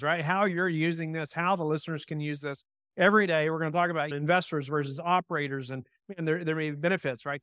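A male podcast host with a smooth, resonant voice set a touch high for a man. He speaks in an upbeat way, stacking questions and tagging on "right?". The recording is close and dry. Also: fairly bright tone, no echo. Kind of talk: podcast interview.

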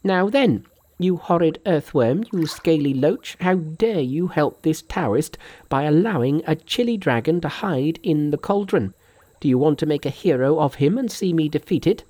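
A man's voice: on the high side, expressive, moderate pace, warm tone, pitched above the performer's own voice. A man voicing a brash, indignant monkey immortal from a storybook, scolding and taunting.

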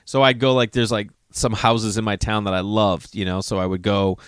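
A man's voice: fairly low pitch, level tone, normal speed, clear, resonant tone, no room sound. Rambling man in his forties, dry close sound. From Massachusetts. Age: 40s. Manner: digressive, self-correcting, swears casually. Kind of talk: rambling personal story.

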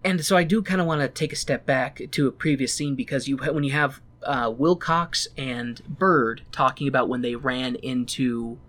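A man co-hosting a casual discussion of a show, retelling scenes in a thoughtful, animated way. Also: medium pitch, normal speed, few pauses. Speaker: podcast co-host reviewing a show.

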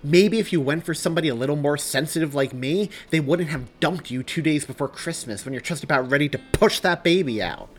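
A man's voice: mid-range voice, big pitch swings, normal speed, fairly bright tone, slightly rough, full character voice. A man voicing an exasperated, defensive young man with a sarcastic edge in dramatized audiobook dialogue. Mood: sarcastic, angry.

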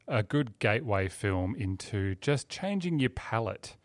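A man's voice: on the low side, very animated, measured pace, dry recording. A man hosting a film review discussion in a casual, conversational style. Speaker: film review podcast host. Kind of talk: casual chat.

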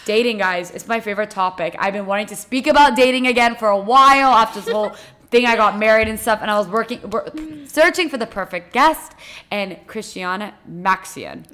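A woman's voice: mid-range voice, fairly quick, pushed and bright. A woman hosting a casual chat interview, speaking in an effusive, slangy way. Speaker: podcast host.

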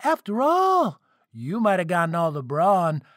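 Man voicing a high-pitched gloating young fire spirit. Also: wildly swooping pitch, measured pace, fairly bright tone, dry recording, studio quality, heavily acted. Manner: cocky, gloating. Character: gleeful, taunting young son of a fire spirit.

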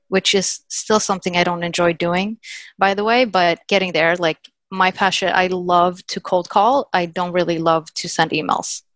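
A woman's voice: lowish voice, fairly bright tone, dry recording. A woman, chatting as a podcast guest in an upbeat, filler-heavy way. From Sweden. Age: 30s. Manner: chatty, upbeat, many "like" and "you know" fillers. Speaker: podcast guest, sales account executive. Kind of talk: casual interview chat.

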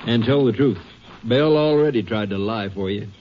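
An actor playing a stern, suspicious small-town Texas sheriff, blunt and pressing, in a 1950s radio drama. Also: male voice, mid-range voice, moderate pace, lightly acted. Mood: stern interrogation. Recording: dull, faintly noisy old radio broadcast.

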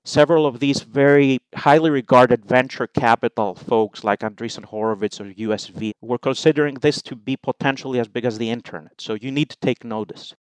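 Greek man explaining in measured points. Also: mid-range voice, clear, resonant tone, no echo, poor audio. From Greece. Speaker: investment allocator, guest. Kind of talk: interview answers.

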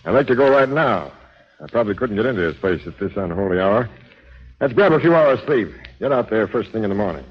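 A businesslike homicide captain in a forties radio crime play. His voice is low and commanding as he probes and gives orders. The sound is dull and old. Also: lively intonation, fast talker, husky. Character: brisk, authoritative homicide police captain questioning people. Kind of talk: 1940s radio acting.

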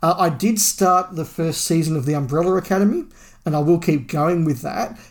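A man co-hosting a fan podcast, chatting casually and reading listener email in a measured, conversational way. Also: fairly high pitch. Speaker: podcast co-host.

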